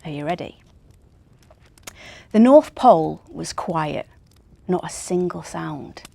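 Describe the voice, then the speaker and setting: A woman's voice: low-pitched, animated, takes it slowly, gravelly, dark tone, dry recording. A woman reading a children's story expressively.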